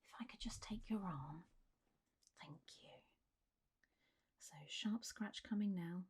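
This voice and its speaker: Woman acting a mellow, soothing zombie doctor. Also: mid-range voice, slow pace, breathy edge. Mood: soothing, attentive. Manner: gentle, reassuring, hesitant, slipping into whispers. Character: calm, reassuring zombie doctor running a specialised clinic. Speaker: doctor in a roleplay. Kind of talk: soft-spoken ASMR roleplay.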